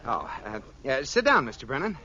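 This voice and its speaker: Flustered, bright-voiced radio husband. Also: male voice, medium pitch, huge pitch swings, fairly quick. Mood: frantic cover-up. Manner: stammering, nervous. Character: flustered, eager-to-please husband and junior banker. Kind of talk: 1940s radio comedy acting. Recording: muffled, hissy old radio recording.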